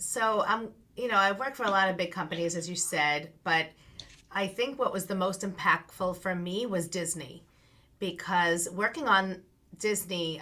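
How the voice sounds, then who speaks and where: A middle-aged woman with a strong Long Island, New York accent, talking in a chatty, storytelling way as a podcast guest. Her voice sits fairly low but sounds bright and forceful, and she laughs easily as she goes. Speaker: guest, business coach. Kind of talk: casual interview chat.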